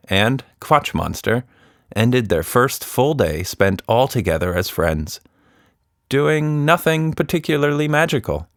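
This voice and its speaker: A man narrating a scripted children's story in an expressive, dramatic storytelling manner. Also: lowish voice, dry recording, studio quality.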